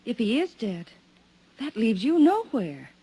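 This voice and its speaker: A curious young woman asks quiet questions in a husky, mellow voice. It has the sound of a 1950s radio drama. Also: fairly high pitch, big pitch swings, measured pace, exaggerated voice. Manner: questioning, soft-spoken.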